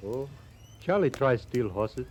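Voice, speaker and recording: An actor playing a cheerful, guileless Crow scout and horse thief in a 1950s radio western, speaking halting broken English and calling himself by name. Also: male voice, mid-range voice, animated, darkish tone, lightly acted. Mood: matter-of-fact. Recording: old radio recording with background noise.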